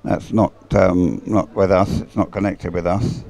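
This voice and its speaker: A man's voice: sits low, fairly even intonation, a little slow, gravelly, darkish tone. A British man giving casual, unscripted live sports commentary in a hesitant, rambling, observational way. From United Kingdom.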